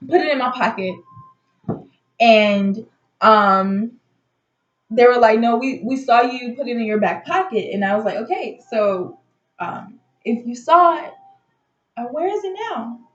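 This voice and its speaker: Casting: female vlogger, high-pitched voice, indignant casual storytelling, slightly muffled roomy sound. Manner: animated, indignant retelling with acted-out dialogue. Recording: muffled audio in echoey room.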